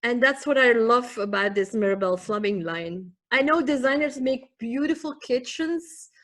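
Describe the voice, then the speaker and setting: A woman whose first language is Dutch, speaking English with a Dutch accent as a guest on a live video-call presentation, talking in a halting way with fillers. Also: a little high, lively intonation. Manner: halting, with fillers and soft laughs. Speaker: guest presenting a product line.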